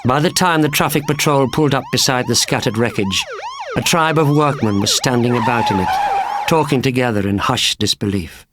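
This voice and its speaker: A man's voice: mid-range voice, a little fast, background noise. A man reading a thriller story as a dramatic, scripted audiobook narration.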